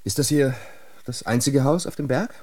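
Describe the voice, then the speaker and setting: A man's voice: mid-range voice, normal speed, a little mellow, no room sound, lightly acted. An actor playing a troubled, restless man in a German radio drama, urgent and hesitant. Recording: clear ambient noise behind voice.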